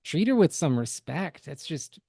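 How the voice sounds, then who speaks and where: A man's voice: a little high, big pitch swings, unhurried, gravelly, mellow, slightly rough, dry recording, studio quality. A man hosting a podcast with casual, critical and opinionated reaction commentary. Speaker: podcast host.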